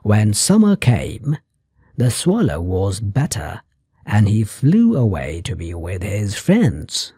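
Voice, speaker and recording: A storyteller reading a children's fairy tale aloud for English learners, in deliberate chunks with pauses and an exaggerated rise and fall. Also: deep voice, wildly swooping pitch, mellow, slightly rough, no room sound, studio quality.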